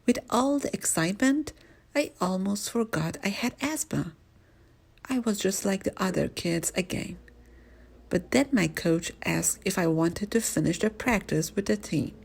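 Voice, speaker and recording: A woman reading a first-person children's story aloud in an expressive, animated storytelling manner. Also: medium pitch, measured pace, vocal fry, breathy edge.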